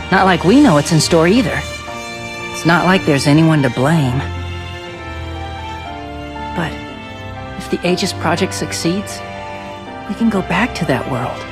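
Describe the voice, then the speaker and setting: A woman voicing a cheerful, boyish young soldier in a video game, talking in an earnest, informal, chatty way. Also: mid-range voice. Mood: earnest, hopeful. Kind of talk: English video game voice acting.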